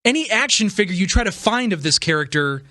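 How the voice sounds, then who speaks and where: A man's voice: sits high, lively intonation, fast talker, pushed and bright, dry recording, clean studio sound. A man, perhaps middle-aged, giving a casual, opinionated podcast monologue as host, stressing his points emphatically. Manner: emphatic, repeats phrases for stress.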